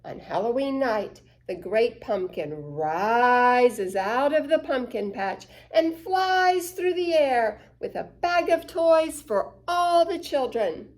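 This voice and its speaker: A woman's voice: a little high, lively intonation, takes it slowly, few pauses, darkish tone. A woman doing an earnest little storybook boy. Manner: sincere, earnest. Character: earnest believing small boy.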